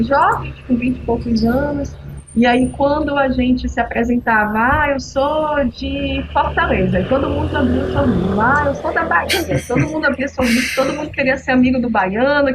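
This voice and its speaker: High-voiced Belém woman in her forties. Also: normal speed, very noisy. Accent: Paraense (Belém). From Belém, northern Brazil. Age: 40s. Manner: storytelling, animated. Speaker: guest, social entrepreneur. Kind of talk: casual podcast conversation.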